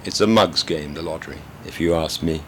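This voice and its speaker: Guy reading as a cocky young crook, real deep voice, offhand, a little room hiss. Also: fairly quick. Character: blunt defiant young male petty crook.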